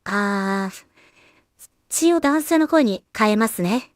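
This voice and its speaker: A woman giving casual, musing commentary as she edits, half talking to herself in unhurried asides. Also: mid-range voice, animated, dry recording, studio quality. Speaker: solo creator and voice actor.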